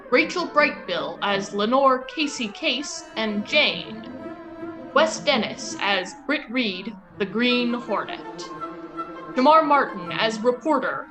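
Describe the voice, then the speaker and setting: A woman's voice: high-pitched, poor audio. A woman announcer reading scripted credits for an old-time radio show. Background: music.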